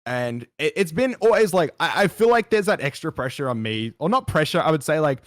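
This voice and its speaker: A man's voice: on the high side, big pitch swings, fairly quick, no echo. Early 20s guy guesting on a stream, rambly and chatty, dry close sound. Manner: rambling, heavy use of like and restarts. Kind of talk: casual interview chat.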